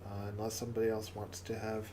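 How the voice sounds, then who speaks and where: Hoarse, raspy man rambling on stream. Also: fairly low pitch, monotone, background noise. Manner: halting, stumbles and repeats words. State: hoarse from coughing. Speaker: livestream host. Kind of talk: casual live-stream chat with a closing prayer.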